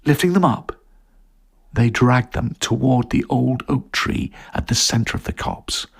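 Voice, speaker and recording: Casting: male audiobook narrator, husky and creaky, suspenseful, dramatic delivery of scripted fiction. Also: medium pitch, unhurried. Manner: suspenseful, expressive. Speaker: story narrator.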